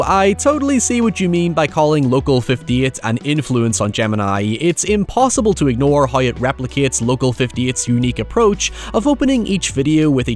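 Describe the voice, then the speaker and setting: A man, reading a scripted video essay about horror media in an articulate, animated way. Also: a little high.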